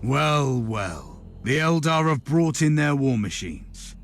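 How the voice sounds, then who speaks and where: A man playing a resolute, measured and formal inquisitor in a dark sci-fi video game. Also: medium pitch, big pitch swings, gravelly, slight background noise, full character voice. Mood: confident. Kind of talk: video game cutscene voice acting.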